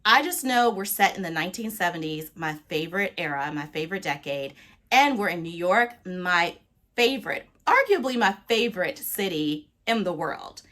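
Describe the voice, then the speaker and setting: A woman talking casually to viewers as a book vlogger, with an expressive, playful and emphatic delivery. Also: lowish voice, moderate pace. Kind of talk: casual, chatty talk to viewers.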